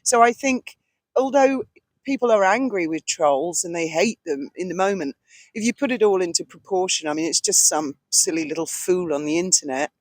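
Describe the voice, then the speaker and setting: A woman's voice: lowish voice, animated, normal speed. A British woman co-hosts a casual vlog with a big, carrying voice. She is blunt and opinionated in the way she talks. The recording is dry, with hardly any echo. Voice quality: loud, carrying voice. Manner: blunt, outspoken. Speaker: vlog co-host. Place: outdoors on a boat deck at a marina, breezy evening. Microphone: cheap handheld microphone passed between speakers.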